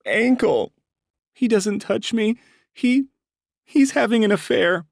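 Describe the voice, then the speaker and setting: A man's voice: mid-range voice, lively intonation, unhurried, a little mellow, no echo, clean studio sound, pitched above the performer's own voice, natural voice. A man voicing a nervous, tearful young married woman who blames herself. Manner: shaky, self-blaming, nervous.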